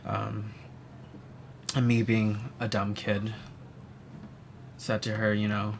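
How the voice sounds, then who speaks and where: A young man, telling a personal story in a reflective, soft-spoken way with many pauses and "um"s. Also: on the low side, level tone, vocal fry. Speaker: interviewee. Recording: faint ambient noise.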